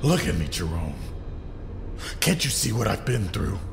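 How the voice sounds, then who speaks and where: Tormented villain, strained menacing voice, audio drama, echoing hall, music underneath. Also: male voice, on the low side, big pitch swings, heavily acted. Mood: bitter, pained. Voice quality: gravelly, strained. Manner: slow, menacing, bitter. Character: scarred, tormented crime boss with two sides.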